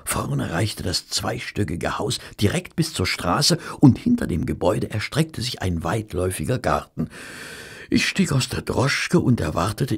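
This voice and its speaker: Polite flustered gentleman sidekick, audio drama, really raspy, lively pitch. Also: heavily acted. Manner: polite, hesitant, earnest. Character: genteel, easily flustered male companion of a detective. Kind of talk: German audio drama acting and narration.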